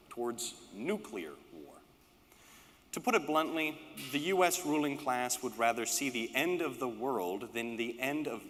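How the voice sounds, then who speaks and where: Male political speaker, low register, emphatic and deliberate scripted delivery, recorded with a long hall reverb. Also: a bit bright. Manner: emphatic, deliberate phrasing in short rhetorical lists. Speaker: speaker reading a panel address.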